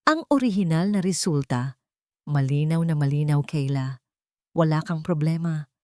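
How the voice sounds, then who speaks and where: A woman's voice: deep voice, moderate pace, darkish tone, dry recording, clean studio sound, lightly acted. A woman voicing a firm, serious, determined lawyer in an audio story. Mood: triumphant. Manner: serious, emphatic.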